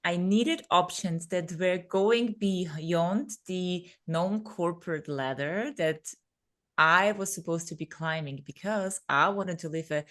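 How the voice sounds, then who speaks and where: A woman's voice: lowish voice, slow, a bit bright. Woman in her thirties telling personal stories. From Vienna, Austria, born in Serbia. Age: 30s. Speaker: podcast guest, speaker and coach. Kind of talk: conversational personal storytelling.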